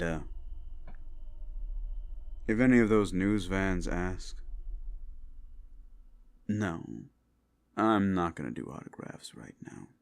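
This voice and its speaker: A man playing a gruff, world-weary demon agent who hunts monsters, with a terse, laid-back, wry drawl, in an action-horror audio drama. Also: deep voice. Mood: gruff, businesslike.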